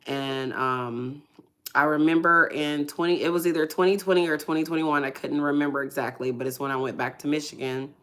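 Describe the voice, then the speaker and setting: A woman with a low voice that is hoarse and a little congested, telling her testimony on a casual livestream. She speaks softly and hesitantly, with many fillers and pauses. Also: fairly even intonation. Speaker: ministry livestream host.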